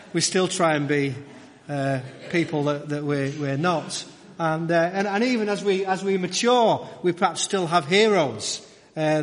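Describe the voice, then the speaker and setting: A middle-aged man preaching a conversational sermon, halting and repeating his phrases as he goes. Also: fairly high pitch. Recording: echoey hall with long reverb.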